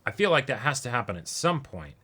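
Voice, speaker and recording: A man's voice: mid-range voice, big pitch swings, fairly quick, pushed and bright, husky. A man co-hosting a reaction show, talking casually and analytically and often checking in with a "right?". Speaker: co-host of an anime reaction show. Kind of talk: casual discussion.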